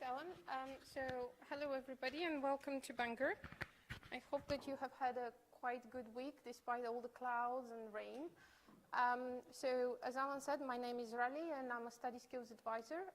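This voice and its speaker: A woman with a fairly high voice leads a study skills workshop for new students. She explains in a friendly, step-by-step way, with frequent fillers between points. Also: steady tone, normal speed, low-quality recording. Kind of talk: workshop presentation. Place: lecture room.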